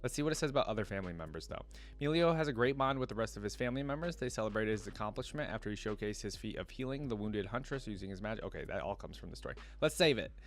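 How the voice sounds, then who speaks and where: Low-voiced man doing casual reactions. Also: expressive, rapid-fire. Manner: informal, thinking aloud. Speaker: reaction video host.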